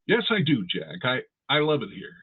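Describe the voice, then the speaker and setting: A man playing a big, good-natured radio announcer in old-time comedy style, husky-voiced. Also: mid-range voice, huge pitch swings, normal speed. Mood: good-natured, hesitant. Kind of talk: 1940s radio comedy acting. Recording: tinny, phone-like narrow sound.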